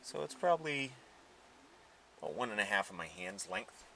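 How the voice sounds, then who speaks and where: A man's voice: on the low side, big pitch swings, normal speed, gravelly, bright, forceful tone. A man hosting a casual car audio unboxing, talking informally and thinking aloud, with some noise behind him. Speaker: host of a car audio channel.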